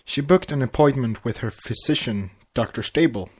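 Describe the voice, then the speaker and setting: A man co-hosting a podcast, chatting casually and narrating a story in a halting way with stumbles. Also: mid-range voice, slightly rough. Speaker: podcast co-host and fan fiction reader.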